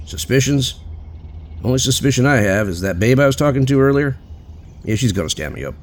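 A man's voice: mid-range voice, expressive, a little fast, close to an everyday voice. An actor playing a smug, crude male police detective in an audio drama, casual and dismissive. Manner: casual, cocky, brushing people off.

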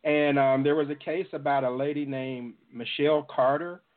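A man's voice: a little high, steady tone, normal speed. A man from the southern United States hosting an interview in a casual, chatty way. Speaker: interviewer, show host. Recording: band-limited, muffled call audio.

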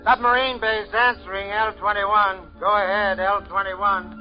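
A man's voice: sits high, flat delivery, measured pace, faint music behind. An actor playing a dutiful navy crewman reporting in an old radio drama. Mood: dutiful, grim. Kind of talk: 1930s-40s radio drama acting. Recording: muffled old radio recording.

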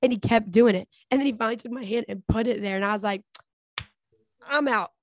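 A woman's voice: fairly high pitch, quick pace, slight background noise. A young woman chatting candidly as a podcast guest, laughing often as she tells stories. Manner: candid and giggly, laughing often between lines. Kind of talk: casual chat.

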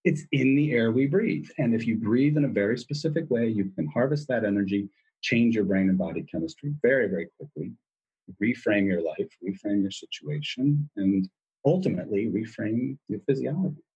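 Very husky man explaining emphatically. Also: on the low side, lively intonation, moderate pace, darkish tone, muffled recording. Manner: emphatic, repeats key words. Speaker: interview guest. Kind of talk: explanatory interview talk.

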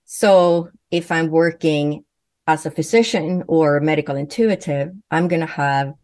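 Low-voiced woman. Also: measured pace, a little mellow, dry recording. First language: Swedish. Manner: earnest, fluent with frequent self-corrections. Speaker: guest, medium and physician. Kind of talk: conversational interview answers.

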